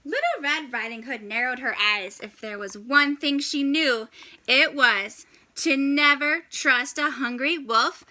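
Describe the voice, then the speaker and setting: A woman reads a story for young children in a high, very bright voice. She takes her time, pausing for drama, and keeps the delivery animated and expressive. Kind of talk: animated children's read-aloud.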